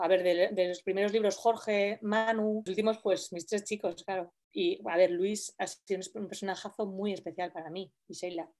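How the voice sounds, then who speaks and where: A woman from Spain with a Spanish accent, chatting casually as an interviewed writer, telling things in an animated, colloquial way. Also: medium pitch. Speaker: interviewed novelist.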